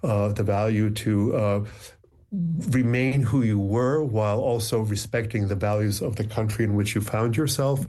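A man whose first language is Ukrainian, a poet speaking thoughtfully and reflectively in measured phrases as an interview guest. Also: on the low side, fairly even intonation, dark tone. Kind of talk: thoughtful conversation and poetry reading.

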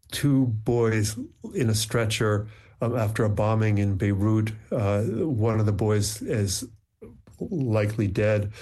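A man whose first language is Ukrainian, a poet speaking thoughtfully and reflectively in measured phrases as an interview guest. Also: on the low side, darkish tone. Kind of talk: thoughtful conversation and poetry reading.

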